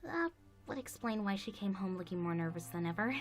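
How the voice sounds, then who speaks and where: A kindly guardian pony from an animated fan drama, acted by a woman. She speaks in a gentle, reassuring, motherly way with very lively intonation. Music plays clearly behind her. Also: mid-range voice, brisk, natural voice. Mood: concerned.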